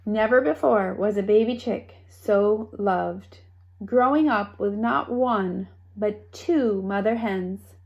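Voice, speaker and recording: Slow, expressive woman reading a storybook. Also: medium pitch, gravelly, highs cut off. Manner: expressive, with playful asides to young listeners. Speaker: storybook reader. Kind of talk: children's read-aloud storytelling.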